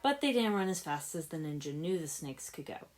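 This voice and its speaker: A woman with a low voice reads fiction aloud. She delivers scripted narration as a storyteller, carrying both description and character dialogue. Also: very animated, a little fast, darkish tone. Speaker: fan fiction narrator, podfic reader.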